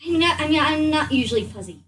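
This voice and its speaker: A woman's voice: high-pitched, lively intonation, quick pace, a bit bright. A woman improvising as a player in a tabletop roleplaying game, stammering and repeating herself as if flustered. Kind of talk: improvised in-character game chat. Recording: distant mic, faint room noise.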